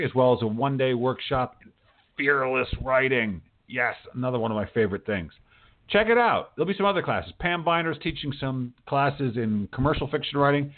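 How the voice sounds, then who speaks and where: An American man hosting a talk show in an informal, chatty way, with emphatic asides and repeated phrases. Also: mid-range voice. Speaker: radio show host and writing teacher. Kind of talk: chatty show introduction and interview. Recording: narrow tinny phone-line sound.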